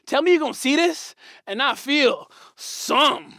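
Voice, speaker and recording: A man's voice: extremely high pitch, expressive, measured pace, vocal fry, bright, forceful tone, dry recording, clean studio sound. A young man telling a comedic personal story in a playful, slangy, punchy way, as host of his channel.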